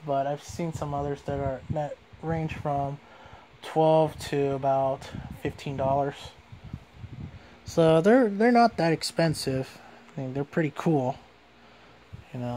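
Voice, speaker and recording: A man giving a casual, hands-on product review in an informal way, often repeating and rephrasing what he says. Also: fairly high pitch. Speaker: gadget reviewer.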